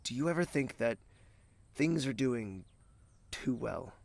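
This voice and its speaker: A man playing a brooding, commanding prince in an audio drama, with a measured, terse and guarded delivery. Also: mid-range voice, lively intonation, creaky, darkish tone, no echo. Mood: foreboding.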